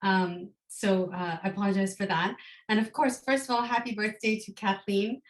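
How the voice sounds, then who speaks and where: A woman giving an academic lecture, with a slightly hoarse voice from a cold, who thinks aloud with frequent ums and restarts. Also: medium pitch, steady tone, moderate pace, reverberant, rough recording. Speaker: guest lecturer.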